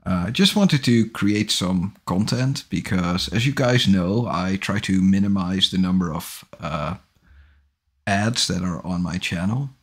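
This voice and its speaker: A man in his forties hosting a casual livestream from a small home office, talking into a studio microphone in a relaxed, rambling way with a low voice. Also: unhurried. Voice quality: low husky voice. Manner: relaxed, rambling, self-correcting. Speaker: livestream host. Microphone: large studio microphone on boom arm, headphones.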